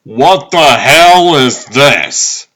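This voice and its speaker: A man's voice: medium pitch, expressive, drawn-out words, pushed and bright, heavily acted. A man voicing a hot-tempered, exasperated police detective in a comic book dub, indignant and blustering. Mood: startled anger.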